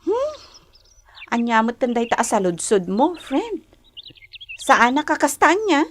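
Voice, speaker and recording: A woman's voice: on the high side, very animated, measured pace, a little hiss or hum. An actress playing a polite, coy young woman in a Filipino radio drama, with an Ilocano accent. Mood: coy, protesting. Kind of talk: Philippine radio drama acting.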